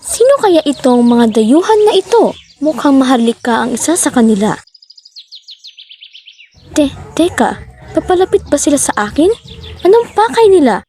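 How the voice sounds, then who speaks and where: A woman playing a gentle, generous mountain fairy guardian in a radio play, speaking softly and kindly. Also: high-pitched, sing-song, slight background noise. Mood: curious. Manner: soft, kindly, measured. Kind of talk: student radio play acting. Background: music and birdsong.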